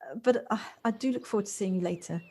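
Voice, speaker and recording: A woman's voice: medium pitch, quick pace, darkish tone, slightly rough. An actress playing a polite, flustered, self-doubting city woman in an audio play, hesitant and apologetic as she talks. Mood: bashful, polite.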